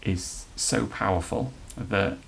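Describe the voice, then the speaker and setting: Gravelly low-voiced man, halting and earnest. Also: slight background noise, poor audio. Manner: earnest, halting, many restarts. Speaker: NHS trust leader chairing an inclusion council. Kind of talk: podcast interview answers.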